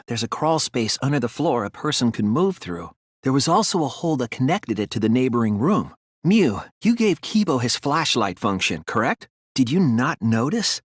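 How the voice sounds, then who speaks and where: A man's voice: medium pitch, husky, no echo, studio quality. A man playing an earnest, careful teenage boy detective in an English video game dub, explaining his deductions with a mix of hesitance and insistence. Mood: probing.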